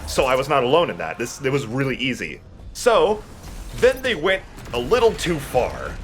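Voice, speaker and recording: A man's voice: medium pitch, wildly swooping pitch, moderate pace, fairly bright tone. A man giving casual commentary while he plays a video game, speaking as the host of a let's play. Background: game music.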